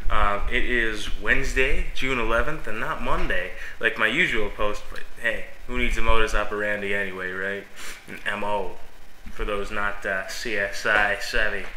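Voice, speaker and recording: A man's voice: on the low side, steady tone, moderate pace, pushed and bright, slight background noise. A young man, a youth pastor, rambling casually in a vlog while stuffy and sniffling from a cold, with lots of fillers and self-mocking laughs. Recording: echoey room.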